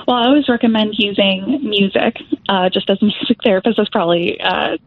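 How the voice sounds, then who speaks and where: A woman's voice: mid-range voice, fairly quick. A young adult woman music therapist, explaining her voice work as an interview guest with frequent fillers. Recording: tinny phone-like line.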